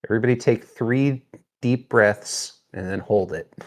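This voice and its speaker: A man takes part in a tabletop roleplaying game as a player. His voice sits a bit low, and he talks casually with the group. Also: unhurried.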